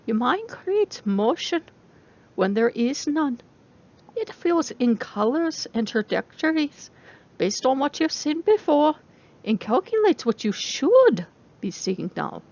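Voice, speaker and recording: A woman's voice: sits high, very animated, slow, darkish tone, highs cut off, full character voice. A woman voicing an intense female robotics professor who lectures her class in a didactic, emphatic way, as in an audiobook. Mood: explaining.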